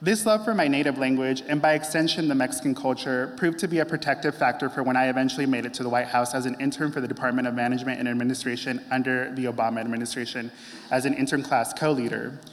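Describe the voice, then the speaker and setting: A young man giving an earnest, heartfelt prepared speech in a measured way. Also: mid-range voice, steady tone. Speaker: speaker. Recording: long hall reverb.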